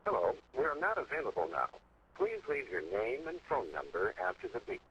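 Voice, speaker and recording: A man's voice: medium pitch, wildly swooping pitch, fairly quick, a bit bright, lo-fi sound, a little hiss or hum. A man voicing an impersonal, formal answering machine greeting on a telephone recording in a horror game.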